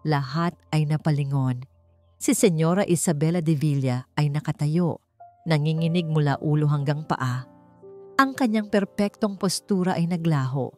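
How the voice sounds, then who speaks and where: A woman narrates a dramatic story in a scripted audiobook style. Her voice sits on the low side for a woman. Music plays softly behind her. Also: moderate pace.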